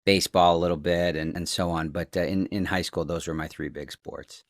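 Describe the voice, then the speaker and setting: Male interview guest, fairly low pitch, dry sound, casual rambling delivery. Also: fairly even intonation, brisk, no room sound.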